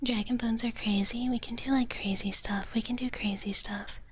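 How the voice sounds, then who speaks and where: A woman whispering softly and breathily in a gentle, cutesy ASMR live stream, chatting casually to viewers and softly repeating her phrases. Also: fairly high pitch, normal speed. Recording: clear steady background noise.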